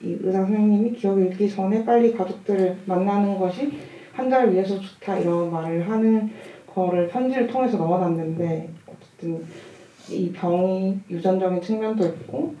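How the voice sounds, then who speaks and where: A distant, slightly muffled recording in a roomy space carries a woman with a mellow voice. She is a film director at a Q&A, giving long, reflective answers. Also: mid-range voice, normal speed, slight background noise. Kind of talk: audience Q&A talk. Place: cinema hall at a festival Q&A.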